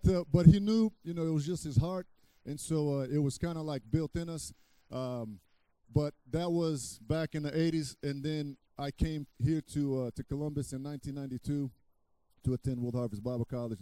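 A middle-aged man from Brazil, a guest pastor preaching a sermon, halting with restarts, then loud and emphatic. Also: medium pitch, no room sound.

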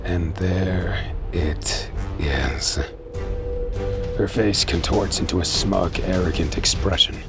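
Man narrating an audio drama, very low voice, gravelly texture, terse and tense, first person, music under it. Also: steady tone, takes it slowly.

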